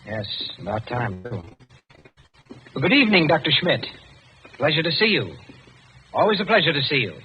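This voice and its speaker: An actor playing a brisk, alert young man, a deferential aide, in an urgent old-time radio drama style. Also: a little high, big pitch swings. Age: young adult. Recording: dull, noisy old radio broadcast.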